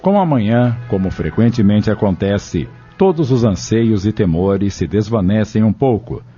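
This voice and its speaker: A man's voice: lowish voice, animated, a little slow, mellow. A man narrating a scripted audio novel as its storyteller. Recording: dull lo-fi audio with music behind.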